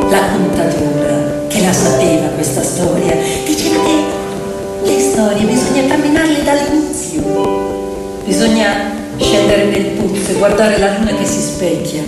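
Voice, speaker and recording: A woman narrating a story theatrically, in short measured phrases that often repeat. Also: mid-range voice. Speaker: stage storyteller and actress. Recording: very echoey hall, distant, loud music behind.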